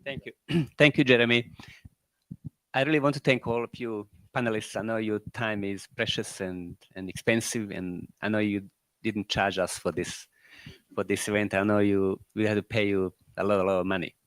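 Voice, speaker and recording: A man's voice: lowish voice, fairly even intonation, moderate pace, fairly bright tone, no room sound. Croatian-accented man, rambling and questioning. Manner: rambling, full of rhetorical questions and "you know". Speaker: entrepreneur closing a panel event. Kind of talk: closing remarks at a panel discussion.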